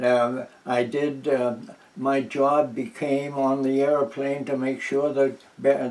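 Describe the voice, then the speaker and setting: A man in his nineties from New Hampshire, speaking very slowly and circling back through restarts, fillers and soft chuckles. He sits a little away from the microphone, so his voice sounds distant. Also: mid-range voice. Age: 90s. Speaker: interviewee, veteran. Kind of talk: oral history interview. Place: at home.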